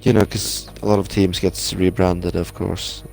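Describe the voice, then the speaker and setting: Laid-back guy with a lowish voice reading out quiz trivia, faint music underneath. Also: level tone, measured pace, creaky, a little mellow. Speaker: quizmaster. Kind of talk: casual chat.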